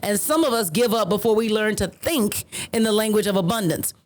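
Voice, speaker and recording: A woman's voice: mid-range voice, brisk. A woman co-hosting a podcast, talking in an earnest, emphatic way and repeating her key phrases. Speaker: podcast co-host. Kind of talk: casual motivational chat.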